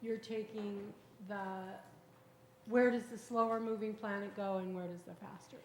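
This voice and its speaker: A woman's voice: mid-range voice, fairly even intonation, moderate pace. A woman attendee asking a hesitant question, searching for her words. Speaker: workshop attendee. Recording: distant, roomy, with faint noise.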